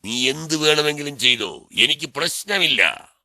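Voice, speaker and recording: A man voicing a smug, brazen snake with a sneering, drawling manner in an animated children's story. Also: a little high, a little slow, dry recording, heavily acted. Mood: smug, defiant. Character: smug, brazen egg-stealing male snake.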